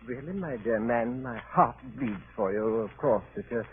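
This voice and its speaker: A man's voice: medium pitch, expressive, moderate pace, phone-quality sound, lightly acted. A man playing a fussy, patronizing British consul with a hesitant, urbane drawl, in 1930s radio drama style on a scratchy old recording. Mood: blandly dismissive. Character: smug, fussy British consul, cynical and evasive diplomat.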